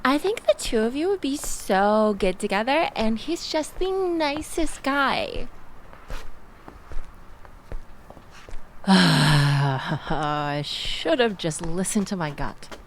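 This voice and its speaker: A woman's voice: medium pitch, big pitch swings, slow, a little hiss or hum. A woman playing a chatty, exasperated young woman in a casual audio roleplay, rambling and self-deprecating as she tells her story. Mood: exasperated, amused. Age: young adult.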